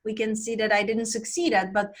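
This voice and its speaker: A woman in her thirties with a Czech accent, talking with a fairly high voice. She opens up earnestly and confessionally, leaning on fillers as she tells her own story in an interview. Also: flat delivery, fairly quick. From Czech Republic. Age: 30s. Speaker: guest, life coach.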